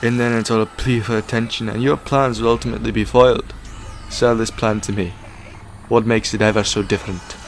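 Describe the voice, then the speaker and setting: A man's voice: lowish voice, fairly even intonation, fairly quick, soft background music, close to an everyday voice. A man playing a defiant, sardonic time-travelling hero in an audio drama, taunting his captors.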